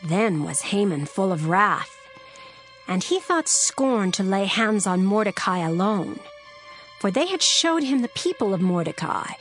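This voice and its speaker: A woman narrating a dramatized scripture reading in a measured, solemn way. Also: medium pitch.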